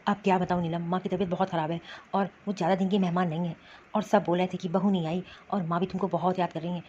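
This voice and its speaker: A woman's voice: lowish voice, little pitch movement, fast, a little mellow, slight background noise, natural voice. A woman playing a troubled, dutiful husband in an amateur family cartoon, speaking in a hesitant, resigned manner. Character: troubled, dutiful husband torn between mother and wife. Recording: muffled lo-fi sound, music behind.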